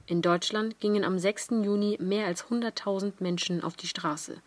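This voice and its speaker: A woman's voice: medium pitch, fairly even intonation, moderate pace. A young woman reading scripted factual narration for a radio piece. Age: young adult.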